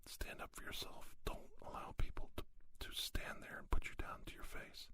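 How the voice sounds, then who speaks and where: A man whispering an ASMR monologue, giving folksy, plain-spoken advice and anecdotes with unhurried, emphatic pauses. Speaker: ASMR podcast host.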